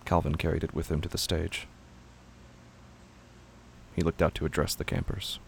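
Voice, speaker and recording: Male podcast narrator, deep and crackling with gravelly fry, scripted delivery. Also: level tone, moderate pace.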